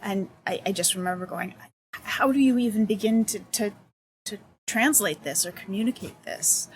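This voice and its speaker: A woman's voice: medium pitch, moderate pace, slight background noise, poor audio. A woman talking as a guest in a casual interview, thoughtful and searching for her words. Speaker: accessibility consultant, guest.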